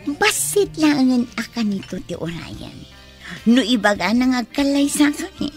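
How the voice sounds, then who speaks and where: A woman's voice: high-pitched, expressive, unhurried, soft background music. A woman playing a sly, two-faced young gold-digger who poses as a polite guest in an Ilocano radio drama. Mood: gloating, scheming.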